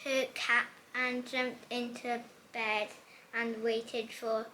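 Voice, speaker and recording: A female storyteller with a very high, bright voice reads a children's tale. She is recorded at home in an echoey room with faint noise behind her. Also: flat delivery, slow. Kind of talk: scripted storybook narration for children. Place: at home, indoors.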